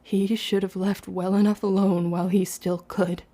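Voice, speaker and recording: A woman's voice: medium pitch, level tone, moderate pace, dark tone, natural voice. A woman playing a guarded, wounded young witch girl who tells her story in formal period speech in an audio drama. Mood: bitter. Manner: archaic, formal period diction. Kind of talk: confessional first-person audio drama narration and dialogue.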